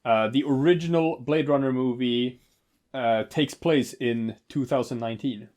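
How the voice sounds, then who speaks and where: A man's voice: medium pitch, unhurried, a little mellow. A man co-hosting a casual movie commentary, rambling with lots of fillers and restarts. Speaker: podcast co-host.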